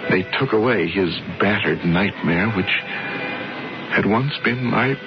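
A man's voice: lowish voice, measured pace, over music, close to an everyday voice. An actor playing an anxious, earnest young sculptor who stammers as he tells his love story in a radio drama. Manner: hesitant, stammering. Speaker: brother Frank telling his story. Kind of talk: 1970s radio drama acting. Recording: muffled old radio broadcast.

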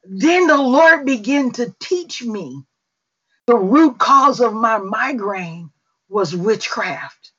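Middle-aged Southern woman, Mississippi accent, slow testimony pace, slightly high voice, muffled call audio. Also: expressive, fairly bright tone, husky. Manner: slow, unhurried storytelling with fillers. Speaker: prayer call host.

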